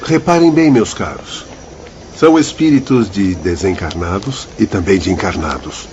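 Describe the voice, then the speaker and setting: A man's voice: low voice, animated, normal speed, a little mellow. A man playing a polite, didactic spirit guide instructing his pupils in a radio drama. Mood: patient, explanatory. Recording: dull sound, light background noise.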